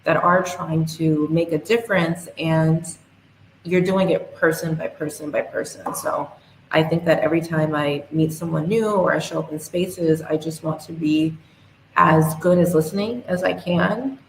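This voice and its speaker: A young woman speaking as a guest in a podcast interview, earnest and measured. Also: deep voice, gravelly. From United States. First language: English. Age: young adult. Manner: earnest and measured, speaks in steady reflective phrases. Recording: echoey room with faint noise.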